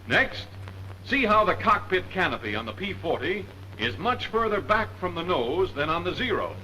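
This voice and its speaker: A man's voice: high voice, very animated, normal speed, pushed and bright, full character voice. A man narrating a 1940s military training film in an emphatic, didactic, rhetorical manner. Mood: instructive. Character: brisk male wartime training film narrator. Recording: noisy 1940s film soundtrack.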